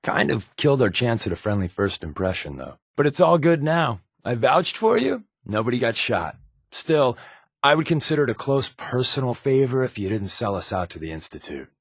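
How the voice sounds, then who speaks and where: A sardonic American secret agent in a video game, a companion with glib, wisecracking patter. His pitch moves around freely in an animated delivery, and the sound is dry and close. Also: normal speed, husky, dry recording. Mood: wry, easygoing. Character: wry, sarcastic undercover resistance agent and companion.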